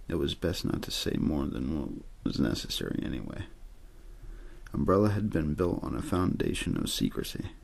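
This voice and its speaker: A man reading a story aloud in ASMR style, soft-spoken and measured, with frequent pauses and a breathy, gravelly voice. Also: rumbling low, sing-song. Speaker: audiobook-style reader for an ASMR channel.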